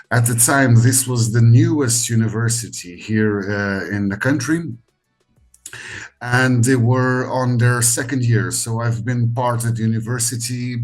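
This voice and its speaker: A middle-aged man from Portugal speaking as an interview guest, measured and pausing often with fillers, over a video call. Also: mid-range voice, a little mellow. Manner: measured, with frequent pauses and fillers. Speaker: university educator, interview guest. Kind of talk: conversational interview.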